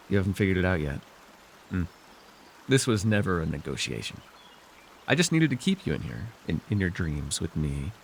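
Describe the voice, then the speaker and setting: A man playing a scheming, possessive dark sorcerer ruler in a fantasy roleplay, speaking theatrically and condescendingly with sighs and deliberate pauses. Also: low-pitched, slight background noise, exaggerated voice. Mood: cold, menacing. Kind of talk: immersive ASMR fantasy roleplay acting.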